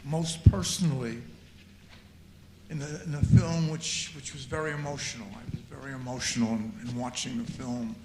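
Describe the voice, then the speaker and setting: A man's voice: mid-range voice, steady tone, normal speed, a crowd in the background. An older man in the audience of a screening Q&A, giving a reflective comment and often repeating words as he searches for them. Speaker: audience member. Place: auditorium after screening. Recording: echoey hall with faint noise.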